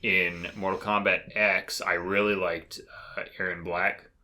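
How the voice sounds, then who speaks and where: A man's voice: low voice, little pitch movement, a little slow, pushed and bright. A man co-hosting a casual podcast chat about games and films. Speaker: podcast co-host.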